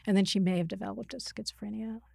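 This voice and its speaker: A woman's voice: mid-range voice, steady tone, fast, creaky, dark tone, dry recording, clean studio sound. A woman explaining things informally as an expert interview guest, often breaking off and rephrasing mid-sentence. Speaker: interview guest, psychiatrist and writer.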